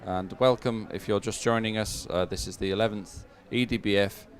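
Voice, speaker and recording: A man working as a live sports commentator and presenter, announcing results and schedules in an unscripted, halting way. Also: on the low side, fairly even intonation. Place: open-air commentary position.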